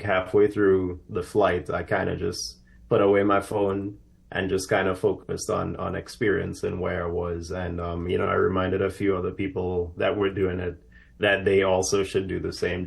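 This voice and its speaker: A man's voice: deep voice, creaky. A man from Trinidad and Tobago, a guest in a live TV interview who answers earnestly and reflectively, with plenty of fillers. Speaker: aerospace professional guest.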